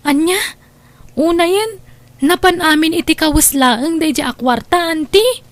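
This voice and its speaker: A very high-pitched actress playing a young student sister in an Ilocano radio drama. She has an Ilocano accent and a needy, pleading way about her. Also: measured pace. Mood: defensive. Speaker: voice actor.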